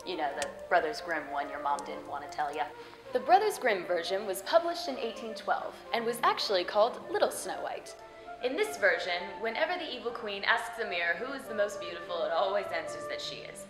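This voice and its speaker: A woman's voice: medium pitch, expressive, brisk, low-quality recording. Playful woman hosting with music behind her. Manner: playful, theatrical, addresses the viewer directly. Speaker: host of a fairy tale web show. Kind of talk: scripted storytelling narration to viewers.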